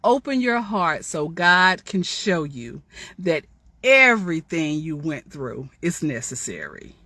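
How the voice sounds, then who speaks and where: A woman's voice: deep voice, big pitch swings, slow, pushed and bright, no room sound. A woman author giving an inspirational storytime talk, reading from her own memoir with chatty, testifying asides that address listeners directly.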